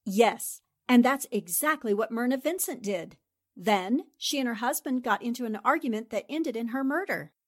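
A woman's voice: fairly high pitch, lively intonation, normal speed. A woman playing a shrewd, confident newspaper reporter and amateur sleuth, theatrical and assertive in 1940s radio drama style. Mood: confident, triumphant.

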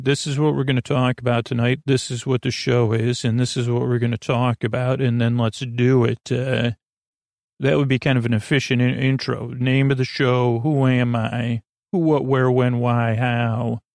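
A man's voice: medium pitch, flat delivery, moderate pace, a little mellow, no echo, clean studio sound. A man hosting a sleep podcast, meandering through tangents with lots of filler words and half-finished sentences. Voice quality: creaky. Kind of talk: rambling bedtime talk.

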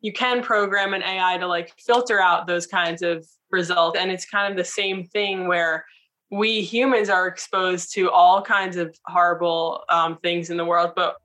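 A woman's voice: fairly low pitch, fairly even intonation, normal speed, fairly bright tone. A woman being interviewed, explaining her thoughts in a casual, informal way with "like" scattered through her sentences. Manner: informal, frequent 'like' as filler. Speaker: interview guest.

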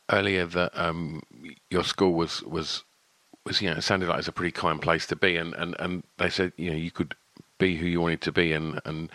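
A man with a very deep voice hosts a casual interview. He asks his questions haltingly and repeats words as he goes. The recording is dry and close, with no room sound. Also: fairly bright tone, no echo, rough recording. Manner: hesitant, stumbling and repeating words. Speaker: interview host.

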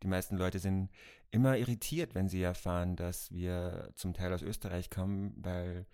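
A man's voice: deep voice, fairly even intonation, normal speed, mellow, clear, resonant tone. Playful man hosting. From Austria. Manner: playful, mock-serious. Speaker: podcast co-host. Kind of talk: casual podcast chat.

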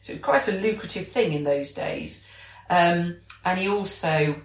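A woman's voice: on the low side, unhurried. British woman chatting in an echoey room. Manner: chatty, explanatory. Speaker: podcast co-host. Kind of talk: casual chat. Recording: roomy, echoey sound.

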